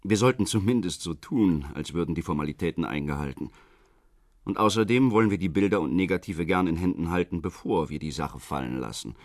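Smooth menacing official, real deep voice, swoopy intonation, polite threats, radio crime drama. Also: male voice, lively intonation, dry recording, studio quality. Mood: cool bargaining. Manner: measured, insinuating politeness with rhetorical questions. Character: smooth, veiledly threatening government official bargaining with prisoner.

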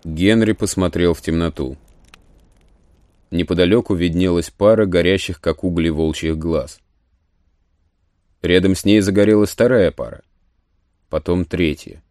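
A man narrating a literary audio play in a measured, solemn storytelling manner. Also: low voice, no echo, clean studio sound. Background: soft music.

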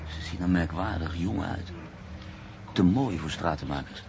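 Thirties literary journalist, very low gravelly voice, measured, reflective, radio play. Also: male voice, background noise. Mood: relaxed, curious. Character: reflective, hesitant writer-journalist in his mid-thirties. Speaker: actor narrating as the lead character. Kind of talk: radio drama monologue and dialogue.

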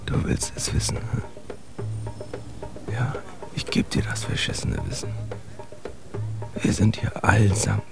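An actor playing a bitter, streetwise young immigrant man in a radio drama, speaking intense, profane slang in a gravelly, confiding half-whisper. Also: on the low side. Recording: music behind, roomy sound.

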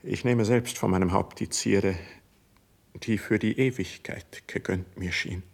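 Proud wounded young nobleman, low husky voice, solemn declamation, classical radio drama. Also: steady tone. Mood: bitter, grieving resignation. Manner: solemn, declamatory, drawn-out emphatic phrasing. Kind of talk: classical verse stage acting for radio.

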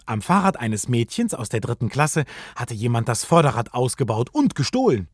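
A man's voice: mid-range voice, sing-song, normal speed, slightly rough, dry recording, clean studio sound. A man narrating a children's detective radio play in a suspenseful, dramatic storytelling manner.

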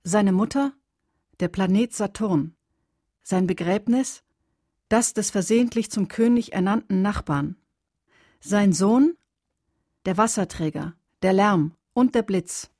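A solemn voice of litany in a radio poem drama. The delivery is slow, stark and measured, with some huskiness. The sound is dry and close. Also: female voice, mid-range voice, darkish tone, no echo. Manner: measured, stark questions and pronouncements. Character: solemn female poetic voice of litany. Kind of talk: dramatic poetry recitation in a radio play.

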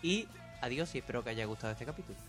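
A Spanish man hosts a podcast in a loose, rambling manner, talking straight to his listeners. Soft music sits faintly behind him. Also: medium pitch, expressive, very fast. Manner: rambling, chatty, asks listeners for feedback. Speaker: podcast host. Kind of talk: casual chat.

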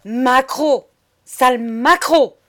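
A woman's voice: high voice, extremely slow, fairly bright tone, pitched above the performer's own voice, heavily acted. A woman voicing a furious, foul-mouthed bourgeois lady in a story, explosive and full of contempt. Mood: enraged contempt. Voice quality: hoarse, harsh shriek.

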